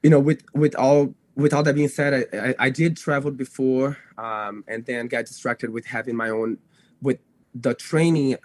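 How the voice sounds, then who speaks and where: A young man who grew up in Brazil with Portuguese as his first language talks in a dry, close-sounding space. His voice sits at a middle pitch. He speaks casually as an interview guest, circling back and restarting phrases with plenty of fillers. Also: steady tone, normal speed, darkish tone, no room sound. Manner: rambling, self-correcting, frequent "you know" and "like". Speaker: podcast guest, dog trainer. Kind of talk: casual podcast interview, telling own story.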